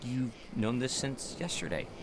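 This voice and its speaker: Male actor as eager young man, audio drama, talkative, animated intonation, medium pitch, clear background noise. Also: measured pace, lightly acted. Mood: surprised. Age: young adult. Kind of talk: naturalistic audio drama dialogue.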